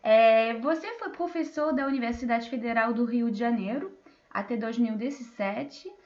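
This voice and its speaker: A woman's voice: fairly high pitch, normal speed, a little mellow, highs cut off. A woman moderating an online panel, introducing guests with many fillers and restarts, over a video call. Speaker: debate moderator.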